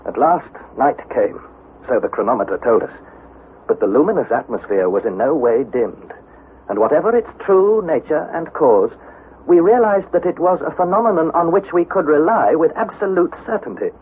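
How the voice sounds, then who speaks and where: An actor playing an earnest young nephew, narrating an expedition with measured, dramatic storytelling in a 1960s radio drama style. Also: male voice, on the high side, very animated. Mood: measured logging. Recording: narrow-band, slightly noisy vintage radio recording.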